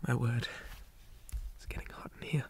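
A man playing a shy, polite zombie boy in an ASMR roleplay, speaking softly, often in whispers, hesitant and flustered with old-fashioned manners. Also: normal speed, lightly acted. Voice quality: soft, breathy, often whispered.

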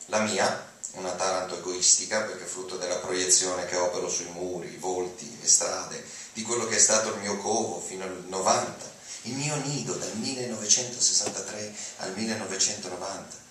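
A man's voice: sits low, normal speed, fairly bright tone. A man gives a declamatory, dramatic reading of prose. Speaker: reader of literary texts. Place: auditorium, at a lectern before an audience. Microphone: lectern mic, recorded from far camera. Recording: echoey hall, distant mic, room noise.

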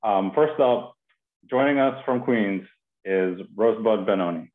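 Low-voiced man hosting over a phone-like connection. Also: a little slow. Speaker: host of a poetry reading. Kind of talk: informal event introduction. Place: video call from a kitchen at home. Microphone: video call. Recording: muffled, phone-like video call audio.

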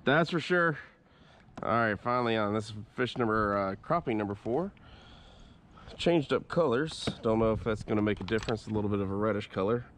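A man's voice: lowish voice, brisk, slight background noise. Laid-back man narrating from a kayak. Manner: relaxed, chatty, swears freely. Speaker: kayak fishing vlogger. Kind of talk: casual narration while fishing. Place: outdoors in a kayak on a swampy creek. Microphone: head-mounted action camera.

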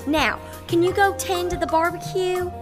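Woman playing a very high-pitched girlish hostess. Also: steady tone, normal speed. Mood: perky, organising. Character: perky, girlish party-hostess daughter. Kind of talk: sci-fi radio comedy acting. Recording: music behind the voice.

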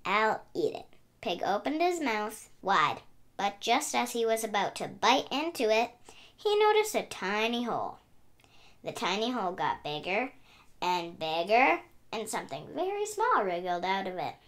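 A woman's voice: mid-range voice, big pitch swings, normal speed. A woman hosting a children's read-aloud, narrating expressively in a sing-song, emphatic way. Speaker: story reader and channel host.

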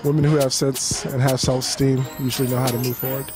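A man on a city sidewalk giving measured, explanatory answers. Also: mid-range voice, level tone, loud music behind the voice. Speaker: interviewee. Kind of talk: casual street answers.

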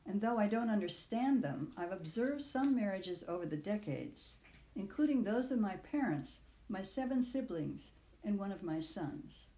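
An older woman in her sixties or beyond reads her own reflective personal essay aloud in a measured, literary way, with deliberate phrasing. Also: mid-range voice, animated, dark tone, roomy sound, low-quality recording. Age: 60s or older. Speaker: author reading own audio essay.

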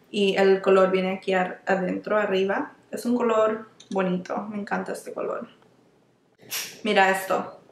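Young woman, beauty vlogger, casual product review, mid pitch, roomy echo. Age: young adult. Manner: chatty, often restarts words and slips into English terms. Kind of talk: casual product review while applying makeup. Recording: echoey small room.

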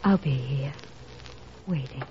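A woman's voice: a bass voice, slow pace, heavy background noise. An actress playing an earnest, troubled teenage girl in a 1940s radio drama. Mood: subdued. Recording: dull 1940s radio recording, music behind.

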